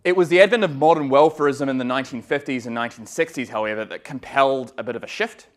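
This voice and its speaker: A man with a New Zealand accent giving an academic law lecture in a relaxed way, with many ums and uhs and some dry humor. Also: mid-range voice, quick pace. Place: lecture room.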